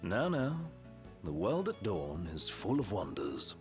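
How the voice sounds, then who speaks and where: A fairly low, hugely expressive British retainer, refined and wry. His courtly game-character delivery is articulate, with music clearly behind it. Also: slow, exaggerated voice. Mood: playful, refined. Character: refined, attentive young male royal retainer, courtly and wry. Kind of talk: video game cutscene voice acting.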